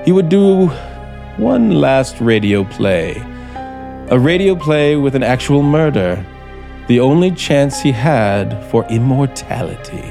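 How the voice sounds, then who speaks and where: An actor playing an embittered, desperate scriptwriter with a gun, slow and menacing in 1950s radio suspense style. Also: male voice, medium pitch, sing-song, gravelly, warm tone, exaggerated voice. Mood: bitter, brooding. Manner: menacing, slow and deliberate, dramatic pauses. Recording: 1950s radio broadcast, music behind.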